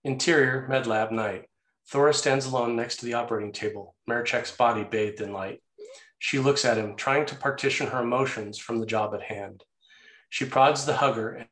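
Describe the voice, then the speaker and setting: A man's voice: medium pitch, a bit bright. A man reading scene headings and stage directions as the narrator of a screenplay table read. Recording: roomy, echoey sound.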